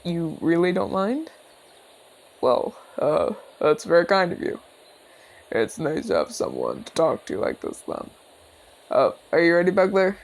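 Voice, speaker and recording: A man voicing a genial retired orc general, calm and inquisitive, speaking courteously to the listener in an ASMR audio roleplay. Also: on the high side, creaky, full character voice. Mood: friendly, curious. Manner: courteous, measured, chatty.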